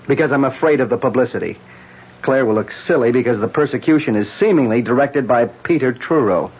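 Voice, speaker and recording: A husky, determined husband. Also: male voice, mid-range voice, brisk. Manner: earnest, insistent questioning. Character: worried, determined man protecting his wife. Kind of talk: 1970s radio drama acting. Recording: dull lo-fi old radio recording.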